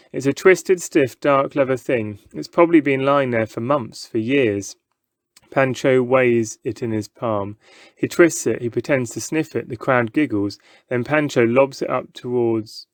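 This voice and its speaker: A man reads a children's novel aloud as an expressive storyteller. His voice sits at an ordinary male pitch, and the sound is dry, with little room around it. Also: dry recording.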